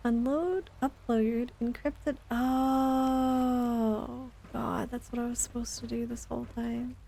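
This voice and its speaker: Mellow, creaky-voiced woman streamer. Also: fairly high pitch, level tone, slow pace, slight background noise. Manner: rambling, conversational, thinking aloud. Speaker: game streamer. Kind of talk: casual livestream chat while playing a game.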